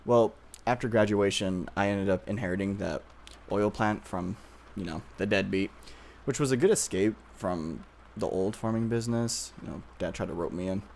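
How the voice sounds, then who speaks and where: Guy acting as yandere boyfriend, lowish voice, soft and hesitant, possessive, asmr roleplay, noisy background. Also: vocal fry. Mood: casual, reflective. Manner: soft, halting, hesitant with nervous pauses. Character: obsessive yandere boyfriend, childhood friend, tender turning possessive.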